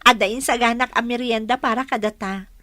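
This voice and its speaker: An actress playing a gentle, affectionate young mother torn in love in a radio drama. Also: fairly high pitch, steady tone, normal speed, no echo, clean studio sound. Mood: fond, teasing. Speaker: voice actor in Ilocano radio drama. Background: background music.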